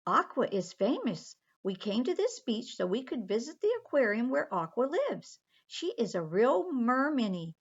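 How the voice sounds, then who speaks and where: A woman voicing a gentle mother calmly explaining something to her children in a storybook. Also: a little high, normal speed, few pauses, husky, pitched above the performer's own voice, exaggerated voice. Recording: dull lo-fi recording.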